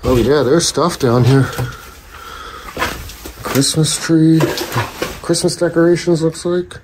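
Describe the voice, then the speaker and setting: A man's voice: fairly high pitch, slow, background noise. Man narrating an abandoned-building walkthrough. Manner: relaxed, talks to viewers as "guys". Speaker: urban explorer host. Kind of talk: casual handheld exploration commentary.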